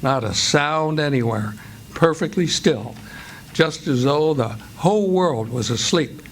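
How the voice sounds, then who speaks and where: A man's voice: fairly high pitch, lively intonation, a little slow, a little hiss or hum. An actor as the narrator of a radio play, an American man telling a river tale in a folksy, descriptive way. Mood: scene-setting. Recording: live stage with music behind.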